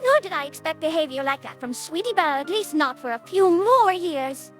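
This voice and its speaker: A woman's voice: very high-pitched, animated, fairly quick, faint music behind. A woman voicing a refined, fussy lady unicorn pony, speaking in a formal, dramatic way in a cartoon audio drama. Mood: gentle concern. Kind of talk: animated cartoon-style audio drama dialogue.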